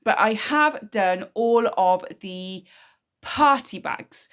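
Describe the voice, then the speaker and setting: A woman's voice: medium pitch, animated, takes it slowly, fairly bright tone. A woman, chatting casually in a weekly vlog, a bit nasal and bunged up from a cold. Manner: chatty, rambling, self-explaining. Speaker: vlogger, single mum.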